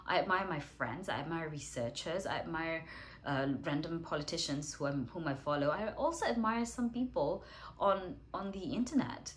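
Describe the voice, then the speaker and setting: A woman English teacher hosting a writing challenge, talking casually to camera while congested with a cold, chatty and self-deprecating, clearing her throat and coughing now and then. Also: low voice, expressive, moderate pace, slight background noise.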